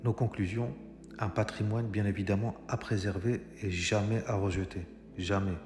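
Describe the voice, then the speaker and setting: A man's voice: fairly low pitch, fairly even intonation, moderate pace. Male commentator, argumentative monologue, hesitant delivery, reverberant room. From Algeria. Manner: argumentative, addresses viewers directly, frequent hesitations. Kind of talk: political monologue. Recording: echoey room with long reverb. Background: music.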